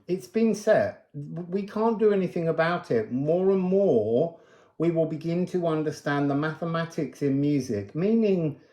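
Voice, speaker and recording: An androgynous-sounding card reader with a dark, mellow tone speaks slowly and casually. The delivery wanders and muses through a spiritual reading, leaning on certain words for emphasis. The room adds a clear echo around the voice. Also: medium pitch. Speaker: tarot and oracle card reader. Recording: roomy, echoing sound.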